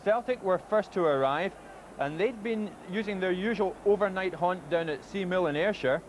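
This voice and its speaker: A man presenting a live football broadcast at a stadium, linking segments and naming arriving players in a brisk, informative way. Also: sits high. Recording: noisy broadcast with crowd behind.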